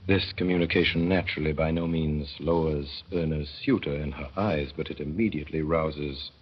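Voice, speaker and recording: Deep-voiced man narrating old radio drama. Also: fairly even intonation, husky. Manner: measured, wry storytelling with deliberate pauses. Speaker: narrator and lead performer. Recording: dull 1930s radio broadcast.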